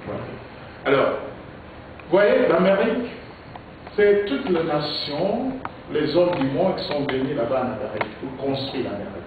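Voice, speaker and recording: A man from Kinshasa, a politician giving interview answers in a halting way, repeating words and using many fillers. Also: on the high side, lively intonation. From Congo (Kinshasa). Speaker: politician, presidential hopeful. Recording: echoey, distant, phone-like with noise.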